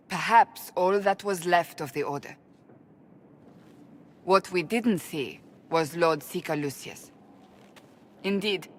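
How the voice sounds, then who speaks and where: An actress voicing a stern, earnest woman warrior who speaks in a serious, measured way. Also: lowish voice, a little hiss or hum, lightly acted.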